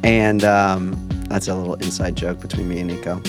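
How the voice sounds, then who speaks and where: A man's voice: low voice, little pitch movement, normal speed, music in the background. A man hosting a podcast, chatting casually and rambling through stories with the odd swear. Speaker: podcast host. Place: at a park.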